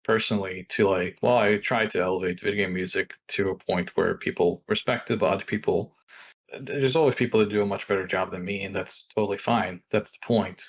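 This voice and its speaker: Low-voiced man musing. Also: steady tone, fairly quick. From Norway. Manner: reflective, rambling with many restarts. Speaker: guest. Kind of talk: casual interview talk.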